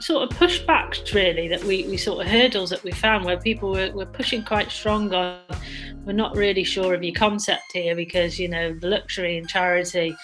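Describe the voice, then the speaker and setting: Low-voiced woman with flat delivery. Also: moderate pace, low-quality recording. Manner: conversational, frequent restarts and fillers like "sort of" and "really". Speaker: social enterprise co-founder. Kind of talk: interview answers. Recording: music playing under the voice.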